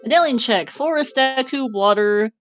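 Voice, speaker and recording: A woman doing casual live commentary on a game tournament, chatty and quick to laugh as she reads out clues. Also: on the high side, a bit bright, highs cut off, faint music behind.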